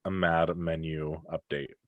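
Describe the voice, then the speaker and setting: A man hosting a casual podcast, leading the talk in a relaxed, slightly halting way. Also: a bass voice, no room sound, phone-quality sound. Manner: leads the list, relaxed and a little halting. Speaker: podcast host. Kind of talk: casual chat.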